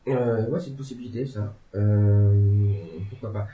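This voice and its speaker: A man talks through politics in a loose, meandering way, stopping often to hesitate and toss in jokes. His voice sits low. The sound is thin and phone-like, with a roomy echo. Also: steady tone, a little slow. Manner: rambling, many hesitations, joking asides. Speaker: legal and political commentator. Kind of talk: casual discussion. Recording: tinny phone-like sound in an echoey room.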